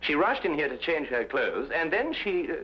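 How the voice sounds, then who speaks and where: An actor playing a courteous, composed gentleman detective who questions politely in an old-time detective drama. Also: on the high side, sing-song, moderate pace. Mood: gently probing. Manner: polite, probing, slightly hesitant questioning. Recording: muffled old radio-era recording.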